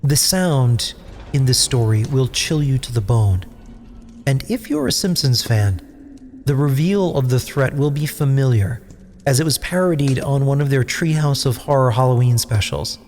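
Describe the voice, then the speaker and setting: A man presents a spooky scripted podcast introduction with theatrical delivery. His fairly low voice rings in a long reverb over faint noise. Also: lively intonation, normal speed, darkish tone. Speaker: podcast host.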